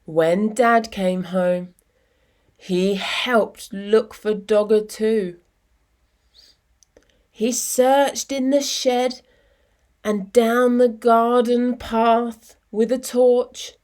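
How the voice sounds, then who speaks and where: A woman reading a children's picture book aloud in an expressive, deliberate storytelling manner with dramatic pauses. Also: on the high side. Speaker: storytime reader.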